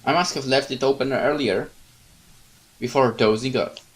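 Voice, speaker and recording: A man narrates a horror visual novel aloud for viewers, at a middle pitch. He reads the text dramatically. He breaks off now and then for casual side remarks. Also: moderate pace, a little hiss or hum. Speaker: let's play host.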